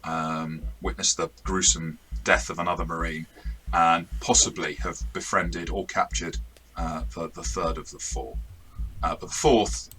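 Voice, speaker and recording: A man's voice: low-pitched, fairly even intonation, measured pace, pushed and bright, slight background noise, low-quality recording. A man, the game master and co-host, chatting casually and rambling in a self-correcting way as he reviews a game.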